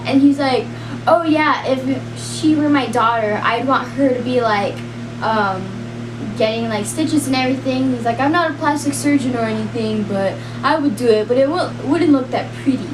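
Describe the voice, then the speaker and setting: A young woman telling a personal story in a rambling, casual way, full of "like" and quick laughs. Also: sits high. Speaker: storyteller guest. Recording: roomy, distant, noisy.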